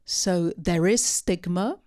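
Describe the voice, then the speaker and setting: A woman speaking unscripted at a post-film discussion, answering audience questions with many pauses, ums and restarts. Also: lowish voice, darkish tone. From part Syrian.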